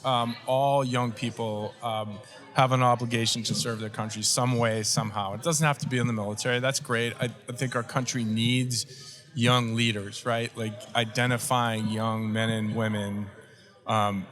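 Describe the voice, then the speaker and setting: A man's voice: mid-range voice, normal speed. A man being interviewed on a radio show, talking casually with lots of "um"s and easy laughs. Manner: relaxed, with many fillers and frequent laughs. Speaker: interview guest.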